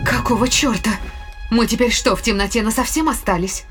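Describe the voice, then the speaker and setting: A woman's voice: fairly high pitch, fairly quick, over music, close to an everyday voice. Sassy, grumbling crewmate, played by a woman. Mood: annoyed. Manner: sassy, exclamatory. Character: grumbling, slangy young female crewmate. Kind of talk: science fiction audio drama acting.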